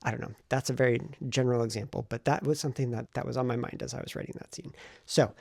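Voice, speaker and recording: Gravelly, husky man vlogging casually. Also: mid-range voice, steady tone, fast talker, a little mellow, no echo, studio quality. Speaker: vlogger and novelist. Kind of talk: casual vlog talk to camera.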